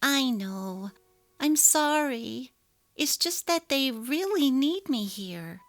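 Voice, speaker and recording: A woman's voice: sits high, animated, slow, a bit bright, full character voice. A woman voicing an apologetic, evasive young working wife and mother in a dramatic story reading. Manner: apologetic, placating. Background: faint music.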